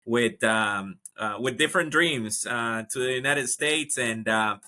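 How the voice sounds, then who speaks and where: A man's voice: medium pitch, a little slow, sharp tone. A man from Ecuador, a guest telling his career story in a casual interview, with lots of fillers and restarts. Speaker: guest, HR executive.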